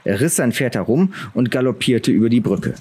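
A man's voice: medium pitch, animated, fairly quick, darkish tone, a little hiss or hum. A man narrating a fantasy audiobook from a script.

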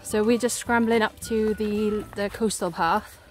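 A young woman with a Swansea Welsh accent, chatting excitedly as she vlogs a windy clifftop walk, filmed on a handheld camera. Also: mid-range voice, measured pace, soft background music. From Wales. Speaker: vlogger. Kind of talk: casual outdoor vlog. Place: windy clifftop coast outdoors. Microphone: handheld camera at arm's length.

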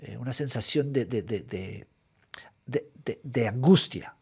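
A man's voice: medium pitch, unhurried, gravelly, a little mellow, husky. A middle-aged man from Guatemala, a writer giving a thoughtful, methodical radio interview in a studio, often repeating words as he searches for them. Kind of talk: radio interview, reflective answers. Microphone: studio microphone.

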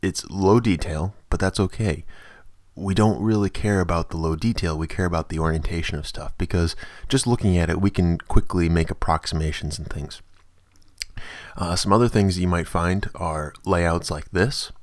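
Guy w a low voice doing a casual screen tutorial, explainy, dry close mic voiceover. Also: normal speed, dry recording. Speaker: tutorial host. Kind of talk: informal software tutorial narration.

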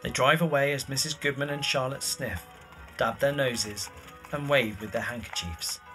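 A male narrator reads scripted scene descriptions for an audio drama. His voice is of medium pitch, and music plays in the background. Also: moderate pace. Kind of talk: scripted narration of stage directions.

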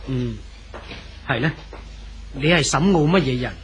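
A man playing a kindly, talkative old church pastor in a 1970s radio drama, with a Hong Kong Cantonese accent and a gentle, unhurried manner. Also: mid-range voice, slight background noise, natural voice. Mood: kindly, reflective. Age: older.

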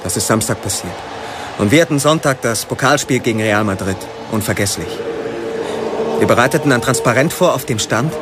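A man's voice: mid-range voice, natural voice. A man playing a fervent football ultra who recalls his life as a chant leader, in dramatized audiobook narration. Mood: reminiscing. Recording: loud music and stadium crowd behind.

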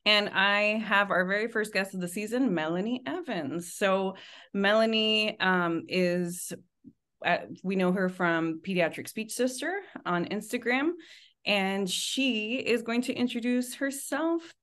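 A woman's voice: mid-range voice, unhurried, fairly bright tone. A woman hosting a podcast and interviewing a guest. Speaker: podcast host.

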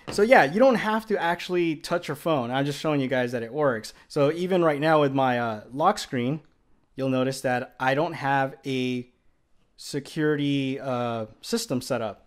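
A man's voice: medium pitch, moderate pace. A man giving a casual tech demonstration as a video presenter, recorded indoors at home. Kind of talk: casual demo narration.

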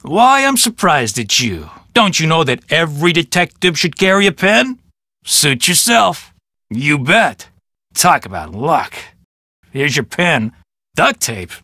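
An actor playing a vain, boastful and defensive police detective in a video game, with an American accent and a self-important, dismissive manner. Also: male voice, on the high side, pushed and bright, dry recording, clean studio sound, heavily acted. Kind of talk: video game character acting.

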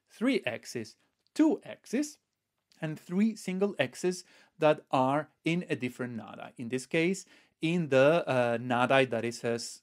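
Guy in his 50s doing an online music lesson, medium pitch, explains step by step with little pauses. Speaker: music teacher, online video host. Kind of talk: instructional explanation to camera.

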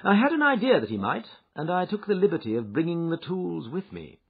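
A keen, precise detective speaks in a lifted, brisk voice, as a man puts him on for a storybook reading. His pitch leaps about with lively swings. The recording sounds a little dull and lo-fi. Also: gravelly, breathy edge, heavily acted. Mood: assured. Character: sharp, eager male detective.

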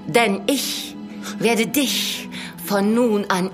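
Slow, luring vampire woman. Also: mid-range voice, music in the background. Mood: luring, possessive. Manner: imploring, luring. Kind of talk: German audio drama acting.

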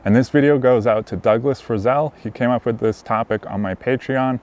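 A man in his thirties giving casual camping tips straight to camera outdoors, relaxed and conversational with frequent ums. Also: medium pitch, brisk. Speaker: motorcycle camping vlogger. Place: outdoors in a sunny wooded campsite.

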